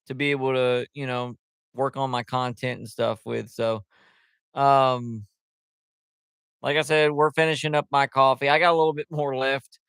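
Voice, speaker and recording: Male livestream host, mid-pitched, loose and chatty with plenty of fillers; clean, resonant tone. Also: fairly bright tone, clean tone, no room sound, clean studio sound. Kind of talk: casual livestream chat. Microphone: headset.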